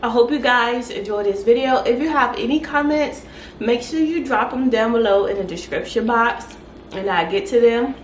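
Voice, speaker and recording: A woman hosting a casual home cooking vlog, her voice stuffy and congested, chatting in an unhurried way with lots of fillers. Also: on the high side, slight background noise, low-quality recording. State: stuffy, congested voice after a sore throat. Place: home kitchen.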